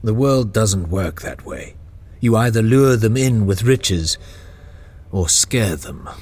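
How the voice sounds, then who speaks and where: A man's voice: lowish voice, expressive, slow, a little mellow, breathy edge, full character voice. An actor playing a witty male chamberlain who teases and laughs often. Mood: wry. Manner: teasing, wry, laughs often. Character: male chamberlain, witty courtier, playful and teasing. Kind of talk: audio drama acting.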